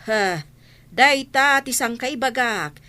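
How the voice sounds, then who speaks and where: A woman's voice: fairly high pitch, expressive, takes it slowly, noisy background. A woman playing a stern, fed-up woman who scolds sharply in an audio drama. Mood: exasperated. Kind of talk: Filipino audio drama acting.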